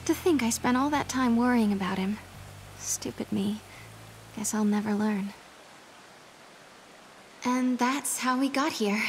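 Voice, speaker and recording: An actress playing a sweet, earnest, caring young heroine in a dramatic video game. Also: female voice, a little high, unhurried, pauses often, a little hiss or hum, natural voice. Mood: wistful, exasperated. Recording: loud game music behind the voice.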